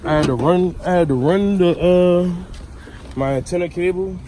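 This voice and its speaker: American guy doing a diy car vlog, slangy and laid out casual, clear noise behind him in the vehicle. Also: sits high, normal speed. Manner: informal, slangy. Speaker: vlogger demonstrating a DIY car install. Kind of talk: casual hands-on vlog chat. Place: in or around a vehicle.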